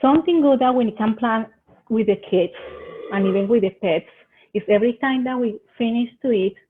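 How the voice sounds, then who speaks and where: A woman's voice: fairly high pitch, normal speed, slight background noise. A woman from Colombia with a Colombian Spanish accent, giving earnest home-living tips in a webinar presentation. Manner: earnest, gives advice in short phrases with some hesitations. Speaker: extension agent presenter. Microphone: video call. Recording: tinny phone-like video call audio.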